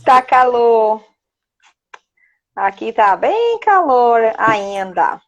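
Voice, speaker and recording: A woman in her thirties speaks in a fairly high voice with a Bahian Brazilian accent. She is chatty and informal, like a guest in a relaxed interview. The audio is slightly dull and lo-fi. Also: extremely slow. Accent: Bahian Brazilian Portuguese. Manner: chatty, prone to laughing, checking with "né". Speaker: interview guest, child psychologist. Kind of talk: casual conversational interview.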